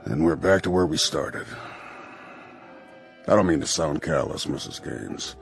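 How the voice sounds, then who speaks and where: A man's voice: extremely low pitch, a little slow, creaky, full character voice. A man acting in a radio drama as a skeptical, commanding military general with a brusque manner. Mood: curt. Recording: music bed underneath.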